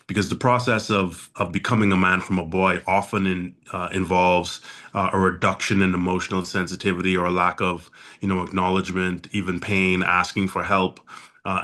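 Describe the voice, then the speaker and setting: A man in his forties from the Bahamas, a podcast guest speaking in a measured, thoughtful way with frequent pauses. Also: low voice, a bit bright. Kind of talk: reflective podcast conversation.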